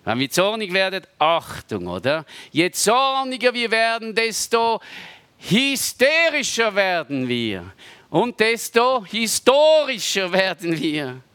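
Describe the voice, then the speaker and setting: Preacher, unhurried conversational sermon, rather high voice, keeps checking for agreement. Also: male voice, huge pitch swings, clean tone, no echo. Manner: conversational, seeks agreement with frequent rhetorical tags, dips to soft asides.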